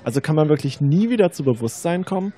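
Man acting Sceptical young male student for educational radio play; quick, mellow, questioning. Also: a little high, animated. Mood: sceptical, uneasy. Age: young adult. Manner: questioning, rehearsed.

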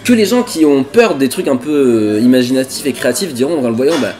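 Fast-talking young Frenchman. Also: mid-range voice, animated, a little mellow, slight background noise. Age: 20s. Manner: slangy, joking. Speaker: co-host of a web culture show. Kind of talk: casual chatty presenting to camera. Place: diner booth with red seats.

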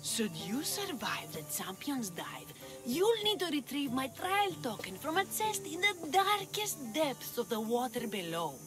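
A sour trial master in a game who delivers dry, weary warnings. Her voice is high with a lively, swooping pitch. Game music plays behind her. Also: a little slow. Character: sour female trial master pushing paid shortcuts.

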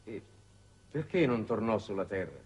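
Husky, low-voiced, grave minister. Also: male voice, animated, darkish tone. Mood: probing. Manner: formal, measured, pausing oratory. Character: grave, authoritative foreign minister chairing a space war council. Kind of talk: 1960s Italian television drama acting. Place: television studio set. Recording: dated 1960s television soundtrack.

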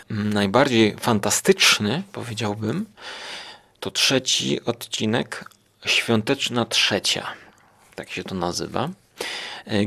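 A man hosting a podcast, giving an informal review in a halting way, rephrasing as he goes. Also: lowish voice, gravelly, fairly bright tone.

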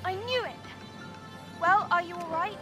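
Brief: excitable, chatty young female sidekick for a game, very high voice, perky delivery, music underneath. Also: measured pace. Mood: surprised, concerned. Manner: perky, expressive. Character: bubbly, curious young female tech companion, chatty and excitable. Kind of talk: English video game voice acting.

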